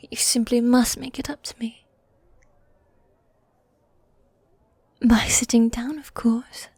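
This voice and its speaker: A woman voicing a needy, dominant goth demon girlfriend in a soft, breathy, coaxing whisper, in a medieval fantasy ASMR roleplay. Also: fairly high pitch, no room sound. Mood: playfully offended. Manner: affectionate, coaxing, drawn-out genteel phrasing.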